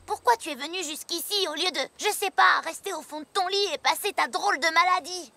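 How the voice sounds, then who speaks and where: A woman's voice: very high-pitched, brisk, vocal fry, background noise. A woman voicing a brash, impatient young female pony in a French cartoon dub, blunt, sarcastic and exasperated. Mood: suspicious, irritated. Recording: loud background music.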